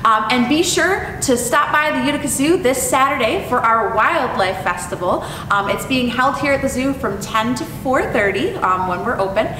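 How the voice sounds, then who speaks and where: Animated high-voiced young woman, echoey room. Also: moderate pace, hardly any pauses. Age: young adult. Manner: animated, expressive delivery. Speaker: zoo educator and storytime host. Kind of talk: educational presentation and picture-book reading for children. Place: indoor room with painted animal mural. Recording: echoey, distant mic with clear rumbling noise.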